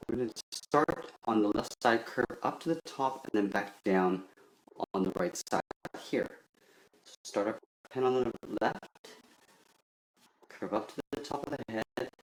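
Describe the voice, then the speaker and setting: Low-voiced man teaching in an echoey room. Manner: simple, methodical instructions. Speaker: drawing instructor. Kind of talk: step-by-step drawing tutorial. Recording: roomy echo with faint background noise.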